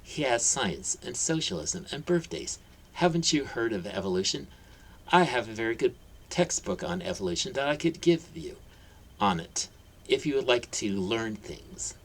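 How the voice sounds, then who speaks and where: A man's voice: medium pitch, very animated, moderate pace, gravelly, voice pushed up. A man voicing a snooty, scornful woman who screeches her lines. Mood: sickly sweet. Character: shrill sneering woman, snooty and loud.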